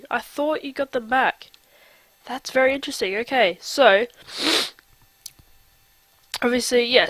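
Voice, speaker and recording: A man's voice: very high voice, bright, forceful tone, dry recording, poor audio. A young man with a nasal, sick-sounding voice, casually narrating his game in a rambling way. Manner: chatty, rambling with fillers. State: nasal from a cold. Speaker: let's play host. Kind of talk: casual gameplay commentary.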